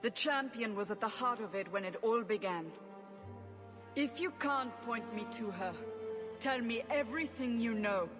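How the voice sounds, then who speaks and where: A woman's voice: fairly high pitch, moderate pace, over music, close to an everyday voice. A woman playing a stern, impatient interrogator in a video game, blunt and commanding. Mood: firm. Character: stern, impatient female interrogator, forceful and demanding. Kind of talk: video game cutscene acting.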